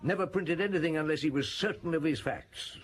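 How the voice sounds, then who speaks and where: An actor playing a shrewd middle-aged Paris police chief, who recalls a case in a wry, chuckling way for a radio drama. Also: male voice, a little high, fairly quick, lightly acted. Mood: reminiscing. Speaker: narrator and detective.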